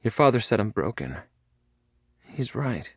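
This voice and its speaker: A man's voice: fairly low pitch, big pitch swings, a little slow, breathy edge, no echo, clean studio sound, voice pushed up, full character voice. A man voicing a frail, sick young woman who is tearful and pleading.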